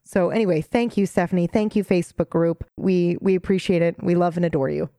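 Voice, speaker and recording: Woman co-host, lower register, dry close sound, excitable and gushing, casual swearing. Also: a little fast, no room sound. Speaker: podcast co-host. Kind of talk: casual chat.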